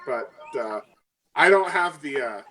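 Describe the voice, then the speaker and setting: A woman playing a tabletop game, chatting casually and role-playing, often halting and correcting herself. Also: a bass voice, lively intonation, fairly bright tone, poor audio.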